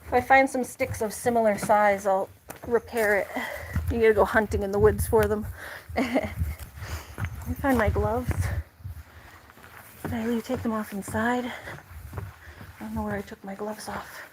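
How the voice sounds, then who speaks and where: A woman's voice: medium pitch, fairly even intonation, a little slow, pauses often, background noise. A young woman chatting casually to camera while doing farm chores outdoors, laughing often and cooing at her animals. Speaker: farm vlogger.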